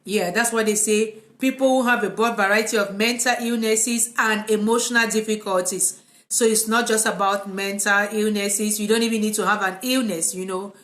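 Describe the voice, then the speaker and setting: A woman with a Cameroonian accent speaks at a middling pitch in a relaxed, informal way, like a therapist hosting her own live vlog. The delivery is conversational and unscripted. The room around her adds a clear echo to the voice. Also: moderate pace. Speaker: psychotherapist hosting a live video. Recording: echoey, reverberant room.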